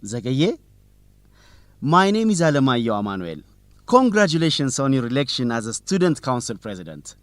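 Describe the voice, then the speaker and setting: An actor playing a polite but persistent young student reporter doing an interview in an educational radio drama. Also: male voice, mid-range voice, sing-song, moderate pace, close to an everyday voice. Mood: polite, formal. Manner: formal, courteous, probing.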